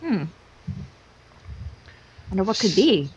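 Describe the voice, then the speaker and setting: A woman's voice: mid-range voice, big pitch swings, slow, breathy edge, low-quality recording. A woman commenting casually on a story reading. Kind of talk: casual chat.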